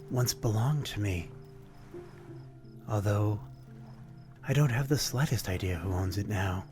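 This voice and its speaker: A man playing a courtly, melancholy vampire stranger in an intimate ASMR roleplay, speaking in a formal, old-fashioned, gently wistful way. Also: on the low side.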